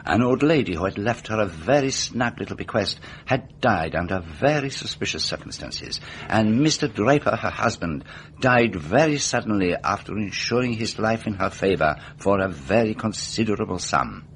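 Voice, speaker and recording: Deep-voiced, animated, methodical detective. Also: male voice, slight background noise. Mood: confident. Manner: deliberate, methodical, rhetorical questioning. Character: meticulous, self-assured male detective explaining a murder case. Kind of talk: radio mystery drama acting.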